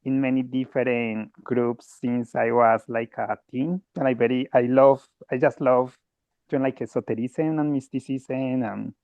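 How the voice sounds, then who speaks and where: A man, his voice gravelly and dry. He speaks haltingly over a tinny, phone-like video call line. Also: medium pitch, fairly even intonation, darkish tone, no echo. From Colombia. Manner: hesitant, with filler "eh" sounds. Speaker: debate panelist. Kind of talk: casual self-introduction.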